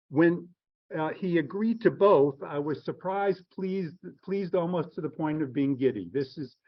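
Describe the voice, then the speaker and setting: Man, event host, formal introduction, earnest, slightly higher voice. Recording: dull lo-fi sound.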